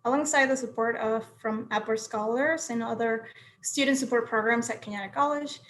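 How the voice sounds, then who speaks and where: A woman's voice: fairly high pitch, a little fast, fairly bright tone, a little hiss or hum. A young woman from Peru giving an earnest, friendly keynote speech over a video call. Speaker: keynote speaker.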